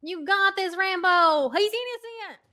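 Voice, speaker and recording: A woman playing a rowdy, loyal courtroom supporter in improvised roleplay, calling out loud cheers. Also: extremely high pitch, measured pace, exaggerated voice. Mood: defiant cheering.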